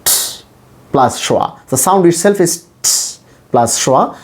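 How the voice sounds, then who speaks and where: A man with a Bangladeshi accent, a native Bengali speaker, teaching English pronunciation in a deliberate, repetitive, demonstrative instructional style. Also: fairly high pitch, animated.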